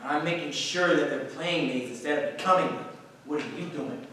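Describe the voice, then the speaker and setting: A man's voice: fairly high pitch, normal speed, sharp tone. A man with an American accent playing a brash, mocking, worldly actor in staged theatrical dialogue. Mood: smug, cutting. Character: brash, mocking, worldly male actor taunting his friend. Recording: echoey hall, distant microphone.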